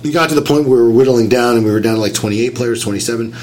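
A man's voice: medium pitch, racing, darkish tone, a little hiss or hum. A man getting over a cold, sounding nasal and a little whiny, talking through a story in casual chat and explaining things step by step. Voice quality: slightly whiny.

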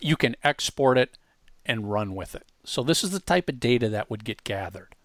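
Male tutorial host, mid-pitched and dry-sounding, informal, hammers key points directly at the listener. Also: animated, normal speed, no echo. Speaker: co-host demonstrating an online tool. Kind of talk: casual software walkthrough.

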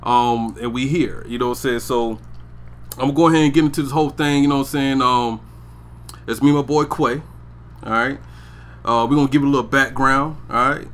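A man's voice: mid-range voice, steady tone, fairly quick, a bit bright. Laid-back man rambling on a podcast. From Georgia, USA. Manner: laid-back slang, keeps saying "you know what I'm saying". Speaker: podcast co-host. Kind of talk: casual chat.

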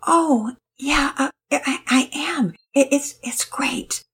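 A woman's voice: high voice, sing-song, normal speed, pitched above the performer's own voice. A woman voicing a stammering, breathless timid girl. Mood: flustered. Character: timid, people-pleasing young girl, exhausted. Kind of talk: storybook reading.

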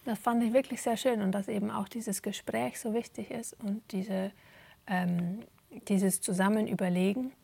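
A woman giving thoughtful, conversational interview answers, searching for her words as she goes, with a husky voice. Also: medium pitch, steady tone, moderate pace, warm tone. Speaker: interview guest, theatre performer.

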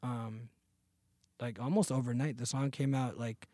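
A man in his thirties, a podcast guest and singer, telling stories in a relaxed, slangy way. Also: mid-range voice, a little slow, no room sound, clean studio sound. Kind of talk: casual interview chat.

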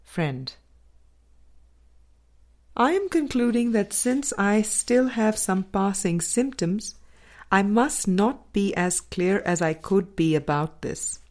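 A woman reading a spiritual book aloud as a scripted narration, with measured, deliberate phrasing in short groups. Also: medium pitch, expressive, darkish tone. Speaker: audiobook reader.

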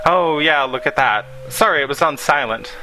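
A man's voice: fairly high pitch, moderate pace, bright, forceful tone, soft background music, low-quality recording. A man voicing a laid-back, careless young boyfriend with an offhand, lazy way of talking.

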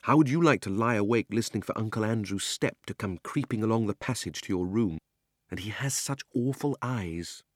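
Man voicing an indignant British schoolboy, lively pitch. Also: brisk, dry recording, studio quality. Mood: secretive, gloomy. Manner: indignant, emphatic, old-fashioned British schoolboy talk. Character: upset, defiant young schoolboy from the countryside.

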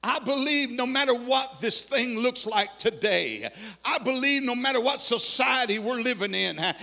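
A man's voice: very high voice, lively intonation, normal speed, creaky, sharp tone. A man preaching a fervent revival sermon to his church, impassioned and repetitive, with stammered restarts and sing-song cadences. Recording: long reverb of a large hall.

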